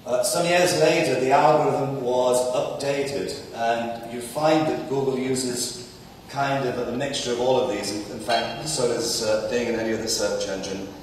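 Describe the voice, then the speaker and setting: A man presenting to a conference audience, projecting loudly and filling pauses with ums. He sounds distant from the mic, and his voice rings through a large hall with a long echo. A faint hiss sits underneath. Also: medium pitch. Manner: loud, energetic presenter with fillers. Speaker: conference speaker. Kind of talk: conference talk on search. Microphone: distant, mic trouble. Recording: echoey hall from far off.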